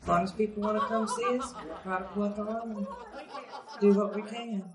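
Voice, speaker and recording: A woman talks in a folksy interview style. Her pitch is average, and she is heard a little away from the microphone in a roomy, echoing space. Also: fairly even intonation, normal speed, crowd noise. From Jessamine County, Kentucky. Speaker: member of a community radio theatre troupe. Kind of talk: documentary interview, folksy conversational. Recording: roomy echo, distant microphone.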